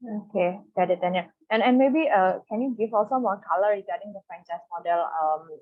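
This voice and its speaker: A woman's voice: medium pitch, a little fast. A woman asking polite, tentative, wordy questions as an analyst on an online conference call. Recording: dull lo-fi call audio.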